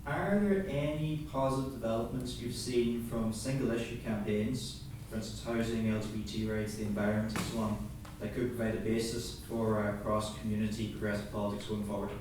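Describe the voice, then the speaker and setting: Low-voiced man asking from the floor. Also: steady tone. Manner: measured, listing examples. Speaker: audience member asking a question. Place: large meeting hall. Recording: distant echoey hall, background noise.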